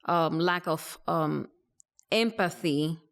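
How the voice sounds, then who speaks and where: A woman doctor from Rico, answering interview questions thoughtfully with many pauses and fillers. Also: deep voice. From Puerto Rico. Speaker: primary care doctor.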